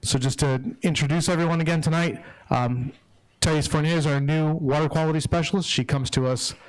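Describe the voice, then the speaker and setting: A man's voice: fairly high pitch, steady tone, a little fast, rough recording. A man hosting a public town meeting, introducing speakers casually with lots of ums and restarts. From not from Massachusetts. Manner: casual, many fillers and restarts.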